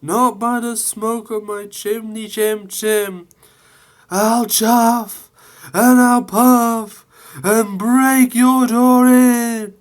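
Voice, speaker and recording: A man's voice: very high voice, level tone, extremely slow, voice pushed up, exaggerated voice. A man voicing a nervous, timid little male tank engine who stammers shakily. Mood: mock-bold. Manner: stammering, shaky.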